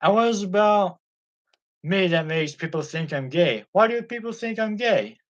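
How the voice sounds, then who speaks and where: A man reads as an indignant young male celebrity, his fairly high voice rising as he presses his questions. He sounds upset and insistent, stung by gossip rather than calm. The reading stays close to a plain audiobook voice. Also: moderate pace, muffled recording. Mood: pleading. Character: upset young male star denying rumors.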